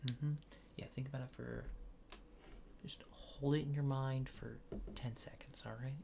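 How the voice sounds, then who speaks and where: A man doing a soft-spoken ASMR roleplay, hushed and often near a whisper, with hesitant, polite, clinical phrasing. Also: mid-range voice, level tone, unhurried, creaky, background noise. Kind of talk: soft-spoken ASMR roleplay as an alien abductor examining a subject.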